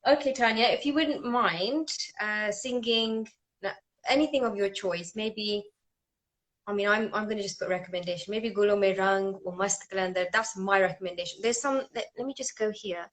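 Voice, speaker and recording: A woman's voice: mid-range voice, normal speed, a bit bright. A woman hosting an interview, talking enthusiastically and often restarting her sentences. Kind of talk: casual conversational interview.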